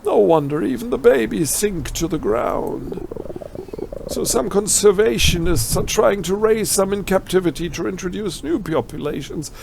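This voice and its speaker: A man's voice: high voice, animated, moderate pace, creaky, background noise. A man with a German accent doing a let's play, reading game text aloud and adding wry, ironic asides. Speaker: let's player.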